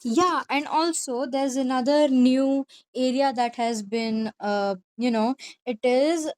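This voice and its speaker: A woman's voice: high voice, slow pace, a little mellow, clear, resonant tone, no echo, studio quality. A woman hosting a gaming video, talking informally and directly to viewers in casual commentary. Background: faint game music.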